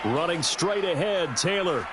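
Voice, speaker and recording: A man giving brisk, scripted play-by-play commentary for a football video game. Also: on the high side, animated, very noisy.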